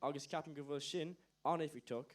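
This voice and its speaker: An Irish man whose first language is Irish, his voice pitched a little high. He makes his point at a formal assembly debate in a measured delivery. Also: fairly even intonation. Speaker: committee delegate.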